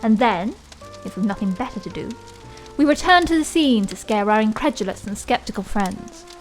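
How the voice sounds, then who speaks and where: A woman's voice: medium pitch, animated, normal speed, faint music behind, close to an everyday voice, rough recording. A woman acting in an audio drama as a self-assured, logical young lady with a precise, arch way of debating.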